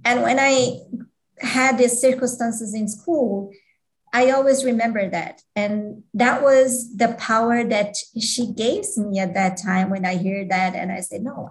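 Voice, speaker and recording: Woman from Switzerland, halting speech. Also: fairly high pitch, low-quality recording. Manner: hesitant, with frequent restarts and non-native grammar. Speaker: interview guest. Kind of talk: casual interview talk.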